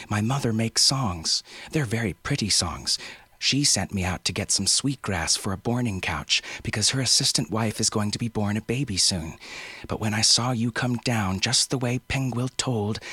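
A man's voice: fairly low pitch, pushed and bright, husky, dry recording, pitched above the performer's own voice, full character voice. A man voicing a cheerful, chattering five-year-old island girl. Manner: eager, breathless chatter.

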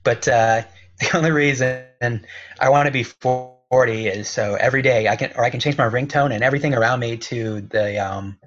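A man's voice: mid-range voice, normal speed, fairly bright tone, highs cut off, faint music behind. A man in his late thirties co-hosting a casual podcast, easygoing with dry humor. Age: 30s. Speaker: podcast co-host. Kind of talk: casual chat.

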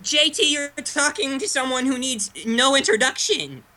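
A performer voicing a childish, self-important male Muppet fan being interviewed, talking in a deadpan way and repeating the host's name. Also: sits high, normal speed, exaggerated voice. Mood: deadpan smug. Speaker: interview guest.

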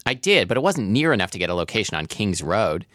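A man's voice: lowish voice, big pitch swings, fast, fairly bright tone, no room sound, studio quality. A man voicing a naive, snobbish middle-aged widowed mother, airy and unbothered, in a novel reading. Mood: defensive, snobbish.

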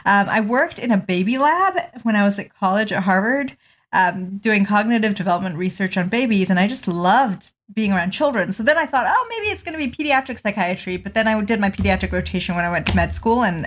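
A woman's voice: mid-range voice, lively intonation, fairly quick. Middle-aged female pediatrician from Malawi, mid-range voice, articulate interview answers with frequent ums. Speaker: pediatrician guest.

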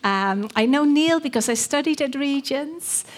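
A woman's voice: high voice, expressive, measured pace. A middle-aged woman from the Netherlands with a Dutch accent, a pastoral worker giving an informal church talk in a chatty, self-deprecating way with many ums. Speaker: pastoral worker and guest speaker.

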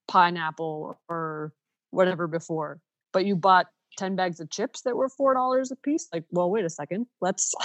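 Candid low-voiced woman, dull dry audio. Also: dry recording. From Canada. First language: Ukrainian. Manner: candid, storytelling with quick asides. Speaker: podcast co-host. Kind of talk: casual podcast conversation.

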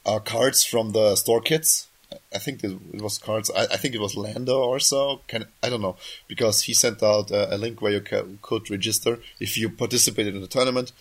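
A man's voice: fairly low pitch, a bit bright. A man hosting a casual podcast while sick, coughing now and then, his voice just back, rambling and often correcting himself mid-sentence. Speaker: podcast host.

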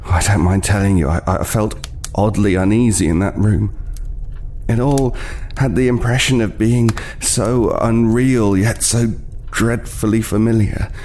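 Man acting haunted, halting homeless man, low voice. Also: fairly even intonation, darkish tone, a little hiss or hum, close to an everyday voice. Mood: uneasy, ominous. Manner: hesitant, halting, haunted, with repeated words. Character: weary, wary homeless man recounting a frightening night. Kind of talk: dramatic first-person storytelling in an audio play.

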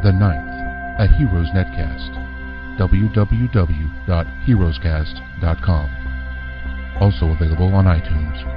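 A man's voice: low voice, slow pace. A man playing an epic movie-trailer announcer, reading a scripted promo. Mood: epic, earnest. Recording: muffled promo under loud music.

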